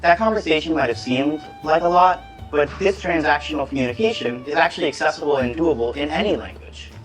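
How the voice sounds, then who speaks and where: A man giving a scripted educational narration, explaining concepts in a clear, measured way. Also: on the high side, rough recording. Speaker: presenter. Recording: voice-over with music behind.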